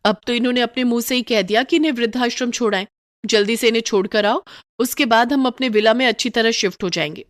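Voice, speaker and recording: A woman's voice: fairly high pitch, quick pace, natural voice. A woman voicing a haughty, spoiled rich young wife who complains indignantly, in a Hindi moral story cartoon. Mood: smug.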